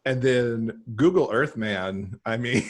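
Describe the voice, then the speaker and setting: A middle-aged man with a husky voice, chatting casually as a guest author on a video call. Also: mid-range voice, slow, a little hiss or hum. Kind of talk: casual interview chat. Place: indoor room, on a video call.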